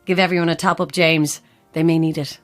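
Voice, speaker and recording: Audio drama: actress as blunt grieving mother and ghost believer, lowish female voice, faint music underneath. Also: little pitch movement, a little fast, no room sound, lightly acted. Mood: composed. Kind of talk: naturalistic audio drama acting.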